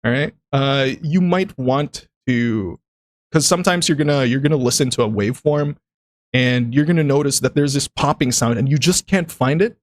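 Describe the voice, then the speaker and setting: A man's voice: medium pitch, normal speed, no room sound. A man with a Filipino accent, speaking English as a second language, chatting casually about voice acting on a stream and often breaking into laughter. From Philippines. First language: other than English. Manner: rambling, laughs often, many restarts.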